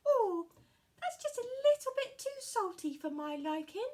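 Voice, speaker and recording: A woman voicing a cheerful, polite little girl with golden curls in a storybook puppet show, with bright, sing-song exclamations. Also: very high-pitched, sing-song, measured pace, voice pushed up, heavily acted. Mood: delighted.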